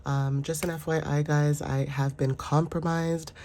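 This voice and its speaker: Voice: mid-range voice, little pitch movement, unhurried, a little mellow. A tarot reader with a sinus infection, congested and stuffy, giving a casual, rambling, reassuring reading full of fillers. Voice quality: stuffy, nasal.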